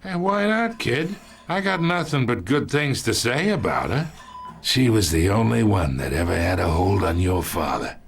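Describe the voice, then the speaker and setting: An actor playing an ageing, wounded, sly mob boss in a video game, with a gravelly voice and a taunting, falsely chummy drawl. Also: male voice, fairly low pitch, lively intonation, normal speed. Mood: needling. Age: older. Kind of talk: dramatic video game acting.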